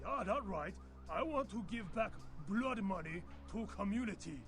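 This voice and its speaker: A man playing a bitter, excitable Chinese gangster in a video game, greedy and self-righteous, speaking indignant broken English with a Chinese accent in a creaky voice. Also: high voice, moderate pace. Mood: bitter, bargaining. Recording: music behind the voice.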